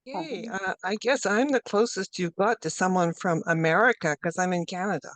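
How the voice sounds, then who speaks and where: A woman's voice: lowish voice, moderate pace, no echo. Upbeat woman chatting on a video call. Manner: upbeat, casual introductions. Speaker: webinar participant.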